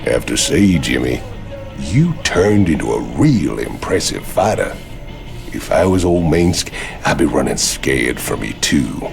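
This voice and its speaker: Rock-bottom gravelly Southern outlaw. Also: male voice, extremely low pitch, big pitch swings, unhurried, music in the background, exaggerated voice. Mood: sly, drawling. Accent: Southern American. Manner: drawling, cocky teasing. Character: hulking, swaggering ex-convict outlaw, gruff and mocking. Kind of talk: video game cutscene acting.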